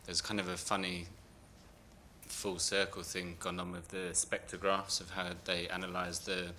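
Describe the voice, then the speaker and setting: Very low, flat-voiced man in the audience. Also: normal speed, slight background noise. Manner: rambling, loose, self-correcting. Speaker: audience member asking a question. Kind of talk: casual audience remark.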